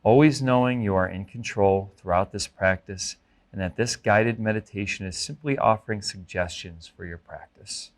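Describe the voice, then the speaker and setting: A man instructing a guided body scan meditation in a gentle, measured, instructive manner. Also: fairly low pitch.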